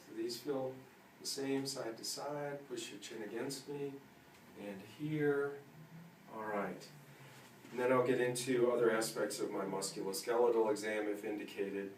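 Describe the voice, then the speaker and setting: Distant-miked man, clinical and matter-of-fact. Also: medium pitch. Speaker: clinician demonstrating a neurological exam. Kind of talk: instructing a patient while explaining to viewers. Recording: roomy, distant mic with faint noise.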